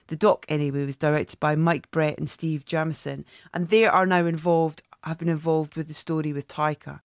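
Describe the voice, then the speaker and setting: A woman reads the scripted opening of a podcast. Her delivery is measured, in the manner of an announcer. The recording is dry and close. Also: fairly low pitch, dry recording. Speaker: podcast host. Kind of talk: scripted introduction.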